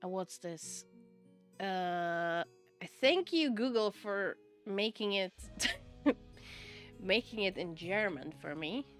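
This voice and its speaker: A woman's voice: mid-range voice, animated, slow pace, pauses often, faint music behind. A woman from the Czech Republic with a Czech accent, a Czech native speaker chatting casually with her stream audience, laughing often and repeating "okay?" to drive her points home. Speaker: VTuber streamer. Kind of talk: casual livestream chat, answering viewer chat.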